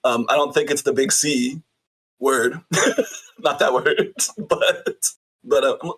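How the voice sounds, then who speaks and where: A hoarse man, a player on the stream, chatting casually and laughing at his own jokes. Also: medium pitch, slow, a bit bright. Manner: giggly, self-mocking. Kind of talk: casual chat.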